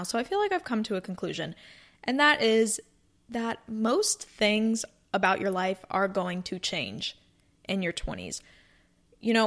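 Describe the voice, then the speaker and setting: Twenty-something woman just chatting on her podcast, rambly and upbeat, cozy room. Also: mid-range voice. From North Carolina, US. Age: 20s. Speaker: podcast host. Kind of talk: casual solo podcast chat.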